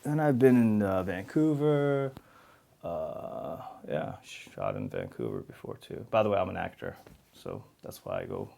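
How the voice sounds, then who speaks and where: Interview guest: New man, low gravelly voice, candid and easygoing, laughs through anecdotes. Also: sing-song, a little slow, warm tone. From New York City. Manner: candid, laughs while telling stories. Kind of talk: casual interview conversation.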